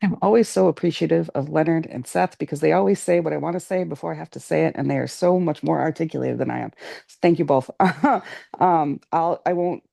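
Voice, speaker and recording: An American woman offers earnest, conversational remarks at a board meeting. Her voice is mellow with plenty of fry and stays fairly even, in a dry recording. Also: deep voice, fairly quick, no room sound. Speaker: school board member speaking in a meeting.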